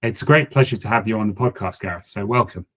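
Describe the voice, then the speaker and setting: A man's voice: on the low side, fast, a bit bright. A man hosting a podcast, asking long, tentative questions. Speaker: podcast host. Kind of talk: podcast interview.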